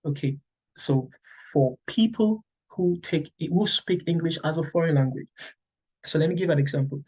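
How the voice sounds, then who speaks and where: A man's voice: fairly high pitch, normal speed, darkish tone, breathy edge, poor audio. A middle-aged Nigerian man, a native Yoruba speaker, explains exams to fellow teachers, checking in with "okay" and often restarting. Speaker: English teacher. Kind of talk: explaining to teachers, conversational lecture.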